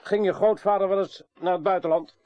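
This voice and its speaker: Wry male investigator played by an actor, slightly high voice, informal, teasing, sceptical, radio play. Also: fairly even intonation, brisk, a little mellow, muffled recording, natural voice. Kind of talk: radio drama dialogue.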